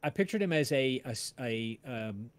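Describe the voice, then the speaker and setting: A man with a medium-pitched voice hosts a relaxed, informal livestream. He talks conversationally and haltingly, often searching for the next word as he goes. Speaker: host of a cast and crew livestream, audio drama writer. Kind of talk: casual livestream chat about a show.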